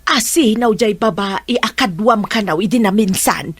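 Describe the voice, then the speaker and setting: A woman's voice: mid-range voice, normal speed, husky, no room sound, faint music behind. A woman with an Ilocano accent acting a stern, accusing mother in a radio drama.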